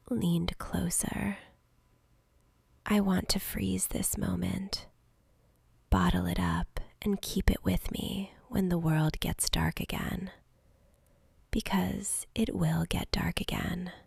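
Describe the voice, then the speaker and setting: A woman's voice: on the low side, lively intonation, gravelly, darkish tone, slightly rough, no echo, full character voice. A woman voicing a lonely, centuries-old vampire girl in an intimate ASMR roleplay, whispering breathily and close to the mic with tender, hesitant longing. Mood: tender, wistful. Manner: slow, intimate, hesitant pleading. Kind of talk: whispered soft-spoken ASMR romantic roleplay.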